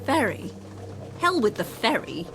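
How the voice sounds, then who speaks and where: An actress playing a headstrong, impatient, sarcastic wife in an audio drama, insistent in manner. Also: female voice, a little high, big pitch swings, unhurried, background noise. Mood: scornful. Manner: insistent, biting. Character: headstrong, impatient, bitterly sarcastic wife devoted to her dog. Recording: music behind the dialogue.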